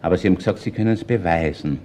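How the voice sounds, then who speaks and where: An actor playing a calm, shrewd middle-aged police chief inspector with an Austrian accent, speaking in a measured, persuasive way in a radio play. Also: male voice, deep voice, slight background noise, close to an everyday voice. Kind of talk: radio play acting, natural dialogue. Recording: dull band-limited old radio recording.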